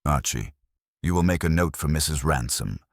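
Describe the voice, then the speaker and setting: A man reads as a pompous, brilliant detective with a hugely deep voice. He is stern and formal, handing down terse judgments like rulings in an audiobook mystery. His intonation rises and falls firmly, recorded very dry. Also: animated, moderate pace, no echo. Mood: stern, judging.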